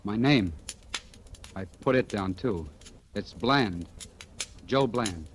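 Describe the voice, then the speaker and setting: Hesitant, low-voiced nervous radio-drama everyman. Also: animated, takes it slowly. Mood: bewildered, grateful. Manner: hesitant, stammering. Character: nervous, down-on-his-luck man swept into trouble. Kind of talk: 1940s radio drama acting. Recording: noisy old 1940s radio recording.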